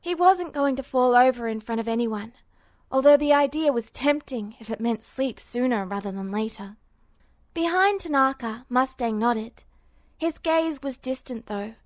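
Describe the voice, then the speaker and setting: A woman's voice: on the high side, moderate pace, no room sound, clean studio sound. A woman reading scripted fiction aloud as a narrator. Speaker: amateur audiobook narrator.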